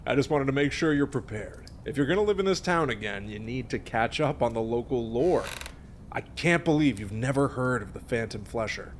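Male actor, smug teasing friend, mid pitch, naturalistic audio drama, faint background noise. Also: expressive, moderate pace, close to an everyday voice. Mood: friendly, teasing. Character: smug, teasing male friend telling a gory local legend.

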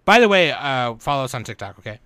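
A man's voice: mid-range voice, big pitch swings, fast, a bit bright, clean tone, no echo. A man hosting a podcast in casual chat, talking in profane, bro-heavy slang. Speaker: podcast host.

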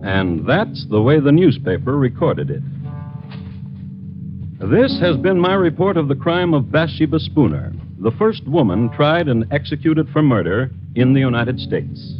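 A grave male chronicler of crimes on a 1950s radio drama. His delivery is formal and florid, with a widely swinging pitch. The old recording is muffled, with prominent music behind him. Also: sing-song, a little slow. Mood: formal, measured. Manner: formal, portentous, florid storytelling. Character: grave, theatrical male crime chronicler reporting historic cases. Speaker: narrator and host.

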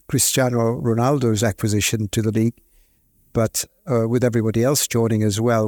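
A man speaking as a league executive on a conference panel, in a measured, businesslike way. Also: on the low side, darkish tone, no room sound, studio quality. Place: conference stage.

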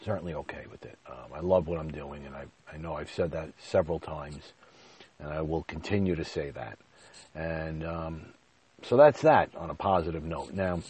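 A man's voice: low voice, darkish tone, breathy edge. A middle-aged man hosting his own podcast, talking at length in an earnest, rambling way, sounding nasal from a stuffy nose and a scratchy throat. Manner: rambling, earnest, with frequent fillers. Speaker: podcast host. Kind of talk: solo podcast monologue.

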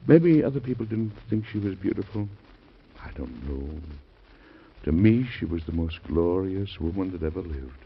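A commanding old-time president. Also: male voice, low voice, lively intonation, normal speed, slightly rough, full character voice. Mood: tender, wistful. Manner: impassioned, commanding. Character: grieving forceful male U.S. president, middle-aged. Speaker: actor in a radio drama. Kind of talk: classic radio drama acting. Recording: dull vintage radio recording.

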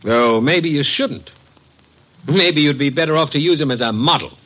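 An actor playing a cold, domineering advertising executive in old-time radio drama, with a curt, imperious delivery. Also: male voice, fairly high pitch, moderate pace, a bit bright. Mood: cold, cutting.

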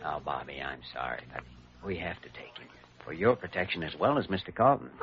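An actor playing an earnest, hesitant young doctor who reasons carefully in an old radio drama. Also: male voice, deep voice, big pitch swings, a little fast, gravelly, exaggerated voice. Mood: gentle. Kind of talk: 1940s radio drama acting. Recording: dull, lightly noisy old radio recording.

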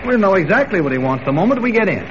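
A man playing a calm, mildly teasing newspaper reporter in 1940s radio drama, patient and offhand. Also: high-pitched, very fast. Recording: muffled, noisy old radio recording.

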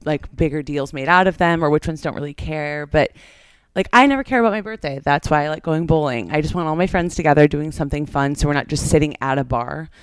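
A woman in her thirties from Michigan talks casually on a podcast, leaning on "like" as she goes. Her voice sits low and comes out smooth and resonant. The recording is dry and close, with no room sound. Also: fast, no echo. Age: 30s. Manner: chatty, fills speech with "like". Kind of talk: casual chat.

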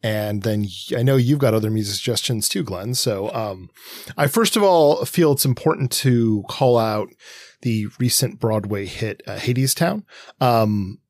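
A man's voice: mid-range voice, darkish tone, no room sound. A man with an American accent in casual podcast discussion, enthusiastically sharing recommendations as a co-host.

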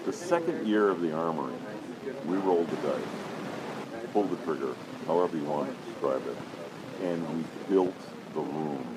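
A man with a deep voice, the founder of a convention weapons exhibit, telling anecdotes in an interview. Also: very animated, moderate pace, very noisy. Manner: deep voice, storytelling with acted-out quotes.

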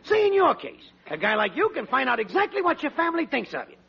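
A scheming, slangy American married man in a vintage radio sitcom. His voice sits extremely high with a gravelly edge and swings around in a cynical, wisecracking way. The old recording is dull, with a studio audience behind him. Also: wildly swooping pitch, fast talker, exaggerated voice. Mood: sly. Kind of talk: 1940s radio sitcom acting.

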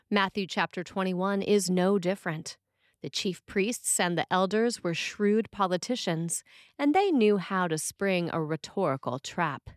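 A woman priest reading a scripted sermon in a measured, expressive way. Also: lowish voice, no echo, clean studio sound.

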